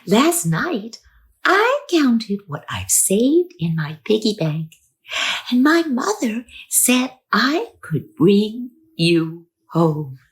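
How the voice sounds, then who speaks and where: An earnest, polite little girl from a children's storybook, as a woman performs her. Her voice is sweet and fairly high, and she takes her words slowly and carefully. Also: sing-song, exaggerated voice. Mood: tender, proud.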